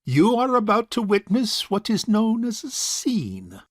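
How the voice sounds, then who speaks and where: A man's voice: sits high, wildly swooping pitch, a little slow, dry recording, clean studio sound, exaggerated voice. An actor playing a suave, sarcastic bachelor uncle in his forties in an audio farce, speaking in a pompous, formal way. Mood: icily formal. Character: suave, sarcastic forty-two-year-old rich bachelor uncle. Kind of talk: stage farce audio drama acting.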